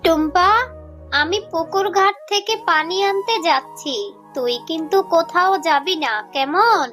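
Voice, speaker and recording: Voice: very high voice, unhurried, bright, forceful tone, heavily acted. A performer voicing a poor, honest, tender mother fox in a children's cartoon, earnest and plaintive, over music. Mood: caring. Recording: faint music under the voice.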